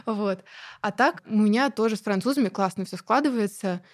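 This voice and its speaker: A woman's voice: medium pitch, moderate pace. A woman with a mid-range voice talks at length as an interview guest. She speaks chattily and freely, with frequent fillers and easy laughs. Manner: chatty and animated, with many fillers and light laughs. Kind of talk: casual conversational interview.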